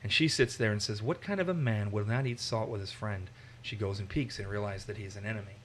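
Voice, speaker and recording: A man's voice: on the low side, a little fast, slight background noise, poor audio. A man from Massachusetts, teaching a conversational Bible study and animatedly acting out the quotes. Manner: animated, acts out quotes in raised voices.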